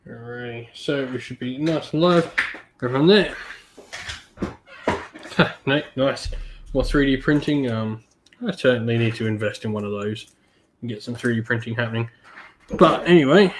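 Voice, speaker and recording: A man hosting a casual hobby stream, a little croaky from being sick, laid-back with lots of ums. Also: mid-range voice, slight background noise. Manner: laid-back, many ums, greets chat viewers by name. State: slightly croaky from illness. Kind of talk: casual live-stream chat while painting miniatures.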